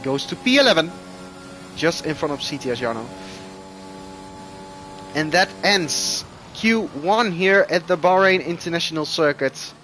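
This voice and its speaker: Excitable Dutch man calling sim racing. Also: fairly high pitch, a little slow, pauses often, soft background music. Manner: excitable, rising loud bursts at crashes. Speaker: esports race commentator. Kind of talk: live sim racing commentary.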